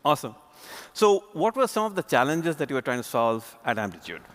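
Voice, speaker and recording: A man's voice: mid-range voice, very animated, fairly quick. A man hosting an on-stage conference interview, with upbeat, enthusiastic prompts that welcome and question his guest.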